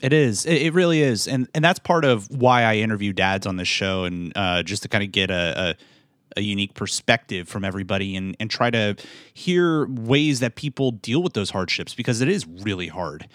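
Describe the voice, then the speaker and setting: Male podcast host, halting questions, lowish voice, dry close mic. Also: no room sound. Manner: warm interviewer, halting, repeats words. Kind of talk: interview questions.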